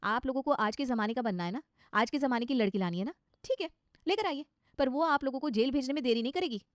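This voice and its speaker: A woman's voice: high voice, lively intonation, fast, no echo, studio quality. A woman voicing an earnest, dutiful young daughter-in-law in a cartoon story, with an outspoken manner. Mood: angry.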